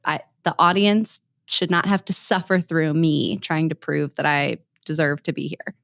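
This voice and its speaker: A woman's voice: sits low, fairly even intonation, normal speed, creaky, a bit bright, no room sound, studio quality. A woman hosting a podcast interview, speaking candidly and punching key words for emphasis. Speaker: podcast host. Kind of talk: conversational interview.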